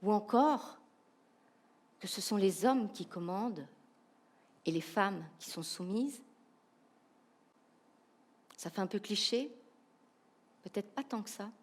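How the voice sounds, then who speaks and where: A woman with a Belgian French accent giving a humorous, animated keynote talk, asking the audience rhetorical questions. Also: mid-range voice, slow, pauses often. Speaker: keynote speaker, professional coach.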